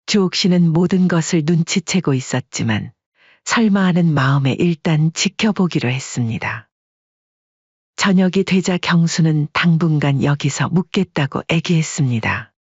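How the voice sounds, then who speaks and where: A narrator reading a family drama in calm, measured scripted narration. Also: mid-range voice, breathy edge, no room sound, dull audio.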